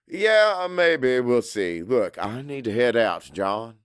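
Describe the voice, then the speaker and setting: A man's voice: mid-range voice, sing-song, slow, smooth, no echo, studio quality. A man voicing a casual, evasive company man taking a call in an audiobook. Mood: breezy, evasive. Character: breezy, noncommittal company man on the phone. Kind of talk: audiobook character acting.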